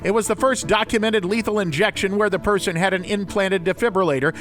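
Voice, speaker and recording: This is a man anchoring a radio newscast. His voice sits high for a man. He reads prepared news copy in bulletin style. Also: fairly even intonation, fairly quick, fairly bright tone. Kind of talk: scripted radio news reading.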